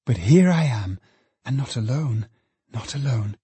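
A man's voice: medium pitch, expressive, a little slow, mellow, breathy edge, no echo. A man voicing a cheerful young woman, an affectionate, teasing daughter in a storybook, with playful exclamations. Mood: gleeful, playful.

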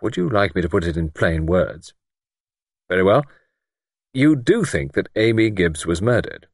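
A man voicing a wry, gently persistent gentleman investigator in an audiobook, asking patient, probing and direct questions. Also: low voice, expressive, normal speed, no echo, clean studio sound. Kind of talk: audiobook character dialogue.

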